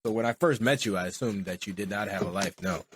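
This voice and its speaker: Man with a Philadelphia accent, low voice. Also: fast, fairly bright tone, a little hiss or hum. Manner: joking, laughs often, rambling. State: sick. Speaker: podcast host. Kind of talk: casual chat.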